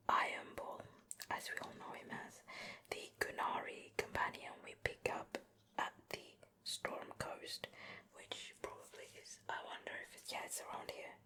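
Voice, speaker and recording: A woman giving an unhurried, chatty ASMR show and tell in a soft breathy whisper, close to the mic from ear to ear. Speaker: ASMR video creator and host. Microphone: close ear-to-ear stereo microphone.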